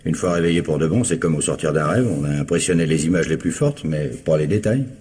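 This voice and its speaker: Low-pitched, world-weary investigator. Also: male voice, lively intonation, a little mellow, breathy edge, heavily acted. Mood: explanatory. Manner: measured, explanatory, dryly ironic. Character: world-weary male police investigator, matter-of-fact and sardonic. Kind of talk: 1950s-style French radio crime drama acting. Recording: noisy old radio drama recording.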